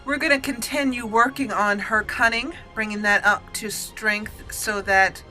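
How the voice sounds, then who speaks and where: A woman's voice: a little high, fairly even intonation, a little slow, bright, forceful tone, soft background music, low-quality recording. A woman hosting a roleplay let's play of a fantasy game, thinking aloud as she muses over her choices. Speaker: gaming channel host and player.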